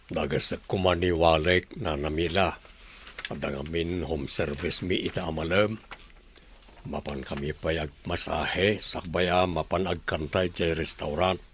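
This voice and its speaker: A man's voice: low voice, steady tone, a little slow, fairly bright tone, slight background noise. A man playing an earnest, concerned young friend in a Filipino audio drama. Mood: explaining.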